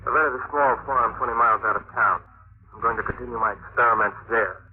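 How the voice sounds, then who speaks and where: A man's voice: mid-range voice, fast, breathy edge. An actor playing a driven, defiant young male scientist in an intense, melodramatic old-time radio style. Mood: bitter, defiant. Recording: tinny vintage radio recording.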